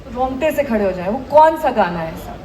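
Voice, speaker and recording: Casting: Indian female stage host, high voice, coaxing interviewer, echoey event sound with some crowd behind. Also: animated, fairly quick, dark tone, a little hiss or hum. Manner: coaxing, mixes English into Hindi. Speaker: talk show host, interviewer. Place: large live event stage.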